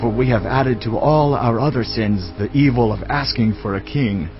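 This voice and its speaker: A man's voice: mid-range voice, moderate pace, highs cut off, faint music behind, close to an everyday voice. An actor playing a fearful man of ancient Israel, pleading earnestly in a dramatized audio Bible.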